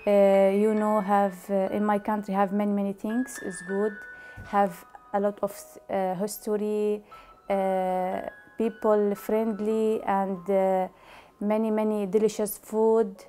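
A woman from Syria answering interview questions in a living room, pausing often and searching for words. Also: medium pitch, little pitch movement, warm tone, soft background music. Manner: halting, searching for words with many uhs. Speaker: interviewee, immigrant mother. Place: indoor living room, on a sofa.

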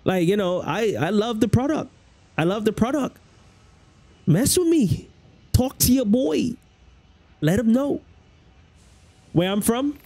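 Young Bahamian man, a game streamer on a headset at home, with a fairly high voice and a loose, repetitive way of talking. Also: expressive, slow pace, long pauses, dark tone. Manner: loose, rambling, repeats phrases. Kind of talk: casual livestream chat with viewers. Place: small room at home, indoors.

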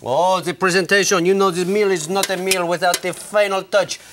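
High-voiced Cajun TV cook. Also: male voice, fairly even intonation, normal speed, fairly bright tone, a little hiss or hum. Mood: boastful. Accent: Cajun French. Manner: theatrical, boastful. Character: boastful excitable Cajun TV cook, proud of the bayou. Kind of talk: comic cooking show banter. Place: TV studio kitchen.

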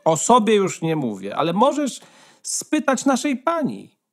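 A smug, scolding hen from a fairy-tale radio play, acted by a man. The voice is fairly high and swoops widely as she lectures with condescending self-importance. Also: huge pitch swings, a little slow, soft background music, exaggerated voice. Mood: sarcastic.

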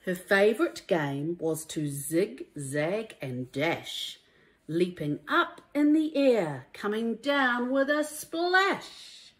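Slow, lively New woman storyteller. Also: medium pitch. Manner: deliberate, emphatic storytelling for young children. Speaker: primary school teacher reading a story to young pupils. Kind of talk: expressive children's storybook read-aloud.

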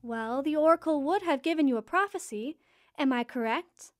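A woman playing a kindly, quirky goddess queen of spring in an audio drama, nurturing and gently formal. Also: high-pitched, expressive, moderate pace, dry recording, clean studio sound.

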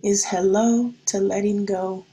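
A woman teacher reading a picture book and talking to young children in an expressive, deliberate, child-directed way. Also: mid-range voice, low-quality recording. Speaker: schoolteacher reading to pupils. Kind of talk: picture-book read-aloud and talk to children.

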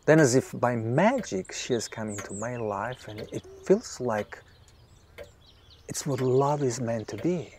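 An actor playing a weak-willed, lovestruck writer in an audio drama, earnest, halting and pleading. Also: male voice, medium pitch, animated, a little mellow, slight background noise, close to an everyday voice. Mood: dreamy, earnest. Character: weak-willed, lovestruck male writer begging his mistress.

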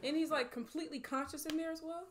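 A young adult woman giving casual, excitable, slangy reaction commentary as she watches a movie. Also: sits high, fast. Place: small bedroom studio indoors.

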